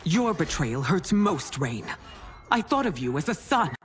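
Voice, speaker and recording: An actress playing a proud, imperious and indignant mature empress in a dramatic video game cutscene. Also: female voice, low voice, sing-song, measured pace, very noisy. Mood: scornful, betrayed. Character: proud, betrayed warrior empress, mature and commanding.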